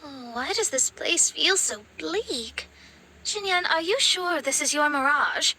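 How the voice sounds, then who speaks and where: An aloof young lady from a video game. The voice is high and bright, with formal, dramatic phrasing. A faint noise sits behind it. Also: animated, a little slow, heavily acted. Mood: troubled.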